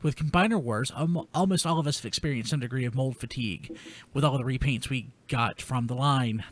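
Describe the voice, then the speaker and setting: A man hosting a casual panel podcast, talking haltingly and repeating words as he goes. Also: mid-range voice. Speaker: podcast host. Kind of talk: casual panel chat, reading listener mail.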